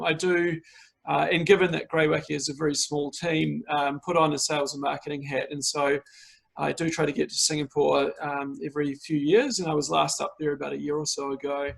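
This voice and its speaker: A man with a New Zealand accent, a winemaker presenting an online tasting over a video call and talking in a relaxed way, full of fillers. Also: fairly high pitch, gravelly, rough recording.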